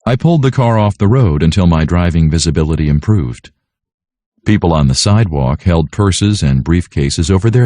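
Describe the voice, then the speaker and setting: Deep, mellow male storybook narrator. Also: dry recording, clean studio sound. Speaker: audiobook narrator. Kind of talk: scripted storybook narration for children.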